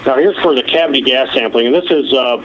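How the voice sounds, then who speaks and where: A man giving a halting technical explanation as an engineer presenting on a telephone conference call. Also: medium pitch. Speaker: nuclear plant engineer presenting. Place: conference call over the phone. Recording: muffled, noisy telephone conference line.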